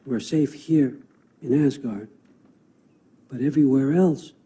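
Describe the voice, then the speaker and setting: A man's voice: mid-range voice, slow, warm tone, breathy edge. An actor playing a wily, domineering old Norse god-king in a video game cutscene, folksy and controlling in manner. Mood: calm, coaxing. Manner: folksy, controlling, shifts from coaxing to commanding.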